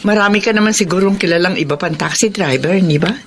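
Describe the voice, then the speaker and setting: A woman's voice: lowish voice, fast, a little hiss or hum, natural voice. An actress playing a practical, consoling woman friend in a Filipino radio soap, reassuring and inquisitive, with a Filipino accent. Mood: persuasive.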